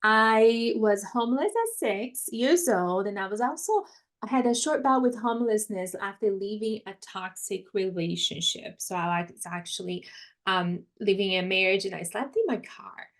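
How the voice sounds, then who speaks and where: A woman's voice: medium pitch, moderate pace. A woman from Brazil with a Brazilian accent, a money mindset coach who tells her story as a podcast guest and laughs often. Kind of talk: podcast interview, personal story.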